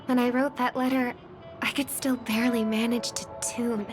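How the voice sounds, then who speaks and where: A woman voicing a gentle, worried young singer and devoted little sister in a game, speaking softly and pensively. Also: fairly high pitch, little pitch movement, moderate pace, lightly acted. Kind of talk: game voice acting, soft dramatic dialogue. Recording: background music under the voice.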